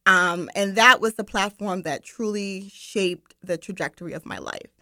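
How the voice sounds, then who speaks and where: A woman's voice: mid-range voice, normal speed, sharp tone, no echo. A young woman from Barbados, guest on a studio radio interview, chatty with many ums and laughs. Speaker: guest, marketing director. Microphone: studio microphone.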